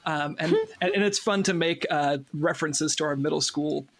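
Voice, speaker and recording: A man speaking as a cast member in a casual interview, telling stories in a jokey, animated way. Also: fairly high pitch, moderate pace.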